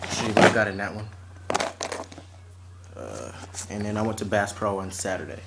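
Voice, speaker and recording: A young man with a fairly low voice talks in an offhand, halting way, like a casual unboxing vlog. The recording is very noisy, made at home on a camera mic. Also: expressive, gravelly. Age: teens to young adult. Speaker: vlogger showing fishing tackle. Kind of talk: casual unscripted unboxing chat. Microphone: camera or phone mic at arm's length. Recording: noisy amateur recording with clicks and rustling.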